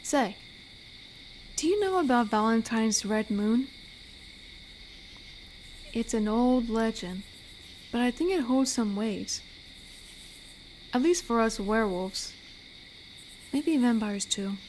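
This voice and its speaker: Woman as a gentle, high-voiced female werewolf. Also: slow, long pauses, a little hiss or hum. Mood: soft storytelling. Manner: gentle, teasing, soft-spoken. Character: amiable, flirty female werewolf, tender forest protector. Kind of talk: intimate roleplay audio drama addressed to the listener.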